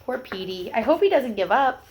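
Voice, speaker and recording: A woman reading a picture book aloud to young children, expressive, with gentle explanatory asides. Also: a little high, normal speed.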